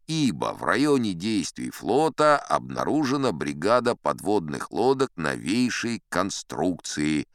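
An actor playing a seasoned, self-assured sea captain who tells tall tales in a wry, conversational way, as theatrical audio drama narration. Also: male voice, low-pitched, smooth, no room sound, studio quality, exaggerated voice. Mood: pompous announcing. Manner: conversational, wry raconteur with rhetorical asides. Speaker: audiobook performer in character as storyteller.

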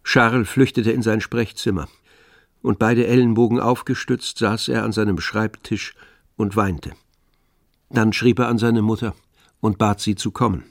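A man's voice: fairly low pitch, steady tone, measured pace, dry recording, clean studio sound. A man narrating a radio drama adapted from a novel in a scripted literary style.

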